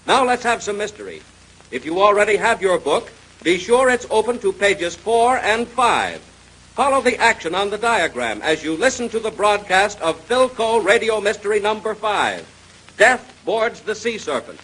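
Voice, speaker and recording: High-pitched vintage radio announcer man, husky. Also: a bit bright, rough recording. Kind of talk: 1930s radio announcing, promotional and scripted.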